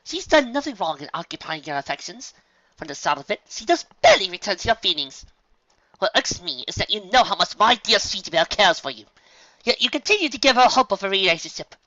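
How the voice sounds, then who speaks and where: A woman putting on a posh, dramatic unicorn mare from a storybook, raised well above a plain speaking voice and swooping through wide, bright lines. She sounds refined and fussy, carefully polished in every phrase. The recording is dry and a little dull. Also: mid-range voice, very animated, quick pace, no echo, pitched above the performer's own voice. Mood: stern. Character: elegant, dramatic grown unicorn mare seamstress.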